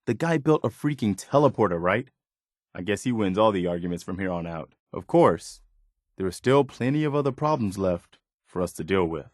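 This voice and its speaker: Casting: male actor as steadfast American wasteland militia officer for a game companion role, lowish voice, plainspoken, very dry close sound. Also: no echo, natural voice. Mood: relieved, hopeful. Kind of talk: video game companion voice lines.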